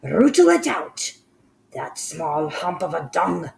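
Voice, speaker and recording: A woman's voice: deep voice, expressive, unhurried, a little mellow, slight background noise. A woman voicing a ruthless female witch leader who barks harsh orders. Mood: commanding. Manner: harsh, commanding, screaming orders.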